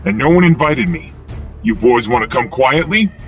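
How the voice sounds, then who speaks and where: A man's voice: medium pitch, lively intonation, normal speed, a bit bright, faint music behind, close to an everyday voice. A man playing a tough, righteous young superhero vigilante in an audio drama, taunting and menacing. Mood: grim, taunting.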